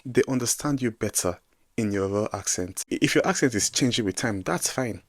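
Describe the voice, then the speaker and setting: A young Nigerian man in his twenties talks straight to the camera in a low voice, with a dry sound and no room echo. He gives advice with emphasis and bursts out loudly on his key points. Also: brisk. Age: 20s. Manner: emphatic, animated advice-giving with sudden loud bursts. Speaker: vlogger giving advice to newcomers. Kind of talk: casual direct-to-camera vlog.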